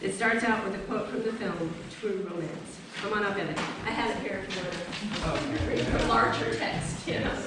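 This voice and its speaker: A woman's voice: mid-range voice, animated, moderate pace, noisy background. A middle-aged woman giving a chatty, upbeat, informal introduction as host of a live event. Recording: echoey room, distant mic, audience murmur.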